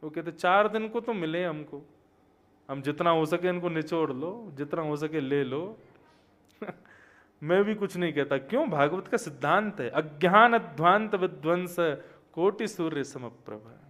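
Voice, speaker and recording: A young man giving a devotional sermon, telling stories and chanting verses, quiet one moment and loud and emphatic the next. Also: fairly high pitch, measured pace. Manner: storytelling, swings from quiet to loud emphatic exclamations. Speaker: Bhagavat katha preacher.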